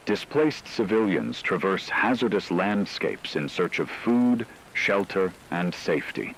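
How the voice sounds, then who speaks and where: A man playing a solemn radio anchor, reading a scripted wartime news broadcast in a measured, formal way. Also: deep voice, a bit bright, a little hiss or hum, full character voice, poor audio. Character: solemn male radio anchor reporting a world war.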